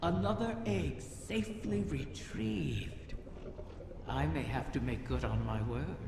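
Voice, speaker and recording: A man voicing an ancient, cunning wish-granting dragon in a video game, slow and savoring, with seductive menace and a monstrous effect on the voice. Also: medium pitch, lively intonation, gravelly. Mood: sly, pleased. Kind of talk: video game character dialogue. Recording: cavernous reverb, loud music behind.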